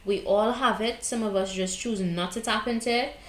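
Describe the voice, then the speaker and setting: A woman's voice: mid-range voice, steady tone, normal speed, echoey room, slight background noise. A woman with a Trinidadian accent giving a tarot reading, talking bluntly and casually, often checking in with "okay?" and "right?". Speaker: tarot card reader. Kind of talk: casual spiritual advice monologue.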